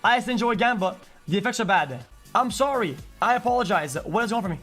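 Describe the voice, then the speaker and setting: High-pitched man ranting on a livestream. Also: animated, fast talker, a bit bright, soft background music. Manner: agitated, repetitive, profane, stumbling over repeated words. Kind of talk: unscripted rant to chat.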